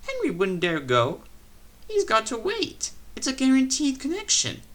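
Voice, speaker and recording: A storyteller voicing a brisk, scolding refreshment lady, pitched up high. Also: huge pitch swings, fairly bright tone, exaggerated voice. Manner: scolding, demanding.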